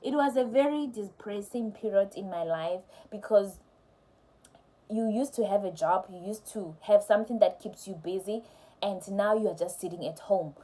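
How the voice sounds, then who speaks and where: A woman's voice: medium pitch, moderate pace, poor audio. A young woman, a teacher, telling a personal story to camera in a candid, conversational way. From South Africa. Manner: candid, conversational, with soft laughs. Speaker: teacher vlogger.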